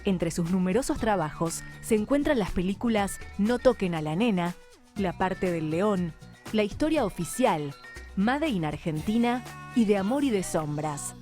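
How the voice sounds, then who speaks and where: A woman reads a formal introduction in a steady, measured voice. Her tone is mellow and dark. Faint music plays underneath. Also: mid-range voice. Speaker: announcer. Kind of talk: scripted introduction.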